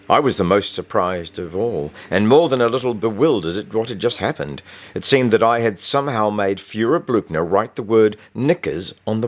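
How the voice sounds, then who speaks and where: A man with a deep, low voice narrates a novel in the first person. He reads as an author telling a scripted story, in audiobook style. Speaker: author reading own novel.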